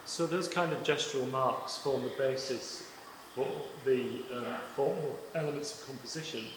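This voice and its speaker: A man interviewing an artist, asking measured, searching questions. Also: medium pitch. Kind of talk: public conversation at an exhibition. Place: exhibition project space. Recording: echoey room with long reverb, clear noise.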